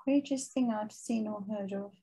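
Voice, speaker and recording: A woman guest telling stories casually and reflectively, thoughtful and halting as she finds her words. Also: mid-range voice, dark tone, husky, low-quality recording. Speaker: podcast guest, charity chief executive. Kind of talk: casual reflective storytelling in an interview.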